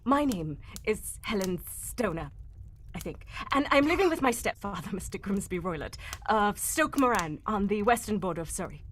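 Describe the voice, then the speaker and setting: A woman playing a nervous, desperate young lady in an old-time radio play, halting and formal in her speech. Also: medium pitch, expressive, a bit bright, slight background noise, lightly acted. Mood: anxious. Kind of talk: cold-read live old-time radio drama acting.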